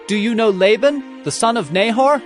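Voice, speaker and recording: A man's voice: high-pitched, moderate pace, soft background music, close to an everyday voice. An actor playing an earnest young male traveler, speaking emphatically in dramatized scripture. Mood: eager.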